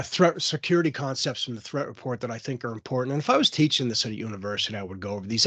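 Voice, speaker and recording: A man with a fairly low voice, a little hoarse from a cold, walks his audience through a security webinar. He explains things step by step, often pausing with fillers and restarting his sentences. The sound is dry and close. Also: fairly bright tone, no room sound. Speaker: cybersecurity presenter. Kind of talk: webinar presentation.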